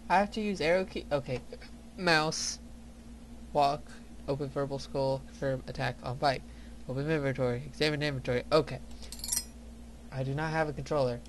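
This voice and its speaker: A man doing casual let's play commentary, muttering and reading menus aloud on a quiet, distant mic. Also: medium pitch, moderate pace, long pauses. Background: clear background noise.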